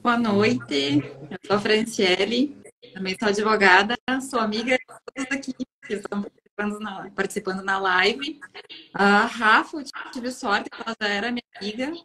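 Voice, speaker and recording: A woman's voice: mid-range voice, normal speed, sharp tone, low-quality recording. A woman lawyer, talking earnestly on a video call and often ending with a questioning tag. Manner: earnest, tags questions with "sabe". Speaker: lawyer guest. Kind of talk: casual live-stream talk.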